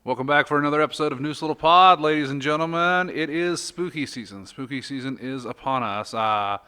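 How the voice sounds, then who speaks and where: A man's voice: on the high side, fairly quick, bright, forceful tone, smooth. An American man hosting a podcast in a conversational style. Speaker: podcast host.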